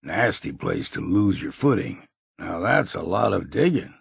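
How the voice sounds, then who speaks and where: An actor playing a grizzled, world-weary old hunter in a video game, with an American accent and a gruff, gravelly, folksy delivery. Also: male voice, deep voice, very animated, measured pace, no echo, studio quality, full character voice. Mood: gruff, wary. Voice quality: gravelly, husky. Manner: folksy, plain-spoken, blunt. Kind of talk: video game character voice lines.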